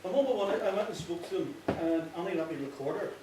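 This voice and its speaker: A man with a Northern Irish accent giving an informal, earnest speech, often halting and repeating himself. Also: fairly high pitch. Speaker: playwright giving a thank-you speech. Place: echoey indoor hall before an audience. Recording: very echoey, distant, noisy with clicks.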